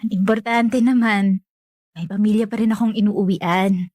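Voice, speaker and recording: A woman's voice: medium pitch, steady tone, normal speed, darkish tone, dry recording, studio quality, natural voice. A young woman playing a resigned, dutiful family caretaker in natural radio drama dialogue, self-effacing and accommodating.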